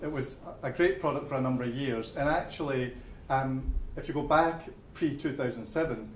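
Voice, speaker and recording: A man's voice: medium pitch, roomy sound, slight background noise. A man with a Glasgow accent, a building society manager explaining things plainly to members at an event, often restarting his sentences. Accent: Glaswegian. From Scotland. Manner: plain-spoken, explains step by step with repeated openers. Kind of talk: presentation and audience Q&A. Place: event venue with an audience.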